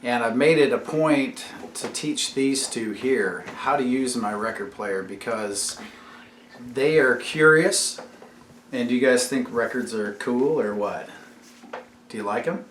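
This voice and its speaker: A man's voice: mid-range voice, measured pace, a bit bright. Echoey home-video man, casual and explanatory. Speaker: YouTube channel host and father. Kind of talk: casual explanatory vlog, chatting with his children. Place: room at home. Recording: echoey room with faint background noise.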